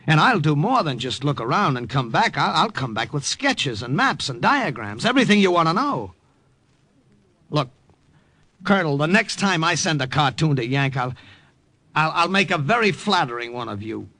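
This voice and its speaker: A man's voice: on the high side, big pitch swings, moderate pace, bright, forceful tone, dry recording, exaggerated voice. A man playing an eager, brash young army sergeant with an American accent, earnest and wry in an old-time radio drama. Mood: eager, pleading.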